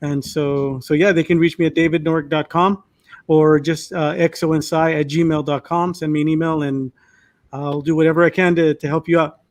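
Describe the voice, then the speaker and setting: Higher-voiced man with an even delivery. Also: fairly quick. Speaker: children's author, panel guest. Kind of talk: informal announcement on a panel. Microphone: video call.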